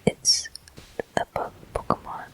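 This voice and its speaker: A whispering game host giving soft, deliberate ASMR commentary with frequent pauses, close to the microphone. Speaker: host of gameplay walkthrough.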